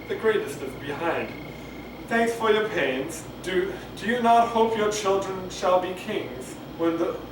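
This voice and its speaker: A man doing a halting, rambling, unrehearsed read-aloud of Shakespeare, stumbling and going back over lines. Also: sits high. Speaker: amateur reader of a play. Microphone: camcorder microphone at a distance. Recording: roomy, distant camcorder mic with clear noise.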